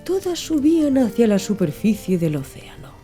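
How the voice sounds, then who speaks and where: A woman's voice: a little high, very animated, normal speed, dark tone. A woman reading literary prose as an audiobook narrator in a scripted storytelling style. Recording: music under the voice. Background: background music.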